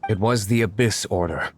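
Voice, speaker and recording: A man's voice: fairly low pitch, a bit bright, a little hiss or hum. A man voicing an aloof, brooding young nobleman with a secret in a video game, speaking in a formal, curt way.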